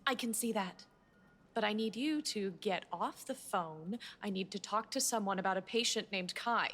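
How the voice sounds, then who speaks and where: A woman's voice: mid-range voice, normal speed, fairly bright tone, slight background noise, natural voice. A woman playing a defiant, worried patient in a horror audio drama, urgent and insistent. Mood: demanding, agitated. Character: defiant, worried female patient investigating her friend's disappearance.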